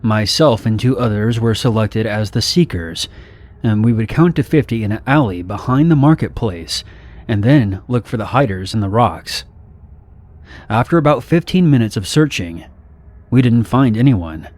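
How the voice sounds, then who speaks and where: A man reading first-person horror stories in a steady, measured, somber narration. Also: fairly low pitch.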